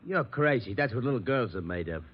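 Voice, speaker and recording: An actor playing a slow-witted, gruff police sergeant in comic 1940s radio style. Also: male voice, medium pitch, expressive. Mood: surly, baffled. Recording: noisy, muffled old radio broadcast.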